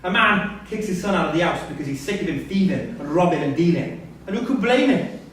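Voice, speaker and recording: High-voiced needling young prisoner man acting, echoey. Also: expressive, brisk, fairly bright tone, slight background noise. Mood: taunting. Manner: taunting, probing. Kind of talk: live staged play reading. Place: theatre-pub performance space, recorded from a distance. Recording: very echoey stage recording from far off.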